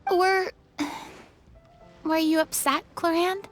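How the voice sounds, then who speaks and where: High-voiced, chatty young woman. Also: takes it slowly, soft background music, exaggerated voice. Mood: gentle concern. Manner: informal, expressive. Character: bright, chatty, expressive young woman, game character. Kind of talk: video game voice acting.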